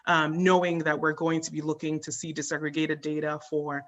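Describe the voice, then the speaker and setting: A woman with a notably low-pitched voice speaks at a public meeting. She is earnest and measured, pausing often on filler words as she lays out her expectations as a committee member. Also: level tone. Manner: earnest, measured, frequent ums.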